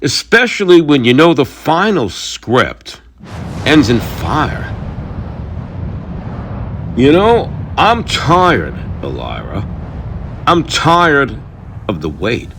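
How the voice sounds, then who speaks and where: A man playing a weary, brooding thunder god in a mythic audio drama, confiding and halting in his burdened role as protector. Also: medium pitch, wildly swooping pitch, a bit bright, a little hiss or hum, full character voice.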